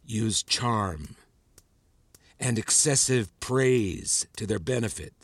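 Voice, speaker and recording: Measured, controlling male mentor. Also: fairly low pitch, breathy edge, dry recording, full character voice. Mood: measured, instructive. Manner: measured, didactic, teacherly. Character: erudite male psychology mentor, probing, patronising, controlling. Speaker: voice actor in a fiction podcast. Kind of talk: acted audio drama dialogue.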